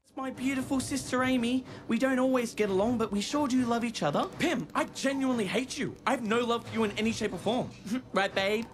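A man voicing a naive, sweet little cartoon critter, earnest and cheerful, with a squeaky childlike voice. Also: fairly quick.

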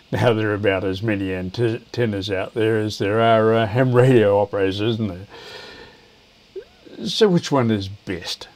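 An older man, explaining things to camera in a measured, hesitant way. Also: on the low side, darkish tone. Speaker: video presenter, ham radio operator.

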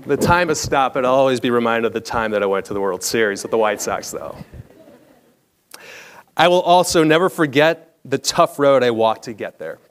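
An American man in his forties reads a scripted personal story on stage in a measured, polished broadcaster-like delivery. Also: mid-range voice, expressive, slight background noise. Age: 40s. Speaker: storyteller, former baseball broadcaster. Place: theatre stage, indoors, at a lectern.

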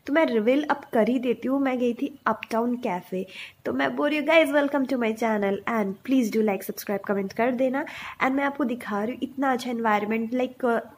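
A woman's voice: on the high side, normal speed, a little hiss or hum. A woman in her twenties narrating a casual food vlog, chatty and enthusiastic, mixing in English words. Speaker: food vlogger.

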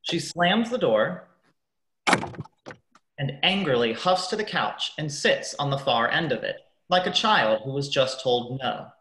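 A man narrating a scripted radio play in a measured, announcing manner. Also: a little high, expressive, a bit bright, a little hiss or hum. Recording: echoey room.

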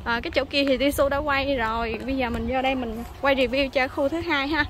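A woman's voice: high-pitched, steady tone, brisk. A woman, chatting through a casual travel vlog as she walks around a hotel breakfast buffet, with plenty of fillers. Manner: chatty, narrating while walking, many fillers. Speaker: travel vlogger. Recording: loud music under voice. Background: loud background music.

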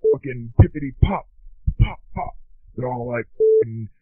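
An actor playing a dim, excitable slacker dude in a comedy skit, rambling in profane stoner bro talk with a husky voice. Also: male voice, mid-range voice, normal speed, no echo. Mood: rambling, amazed. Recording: narrow, dry telephone-like sound.

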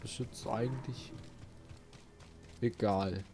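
A man's voice: on the low side, fairly even intonation, takes it slowly, a little mellow. A man doing casual let's play commentary, musing aloud and chatting to his viewers. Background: game music.

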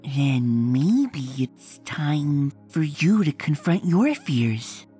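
A woman doing a timid, breathy pony girl. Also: sits low, sing-song, very slow, soft background music, heavily acted. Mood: gentle. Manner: shy, hesitant, soft and breathy. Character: timid soft-spoken female pony.

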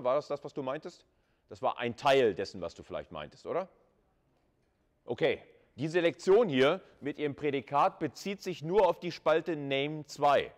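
Male lecturer, mid-pitched, conversational and informal, talking students through material with rhetorical questions. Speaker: university lecturer. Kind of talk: live lecture explanation, addressing students. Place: classroom with chalkboard.

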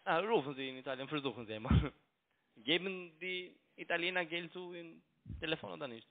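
A man's voice: on the high side, a little fast. A man with a foreign accent, not a native German speaker, arguing defensively and repetitively as a phone caller, in broken grammar. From outside Germany. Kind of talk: argumentative phone conversation. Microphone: telephone. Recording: tinny narrowband phone line.

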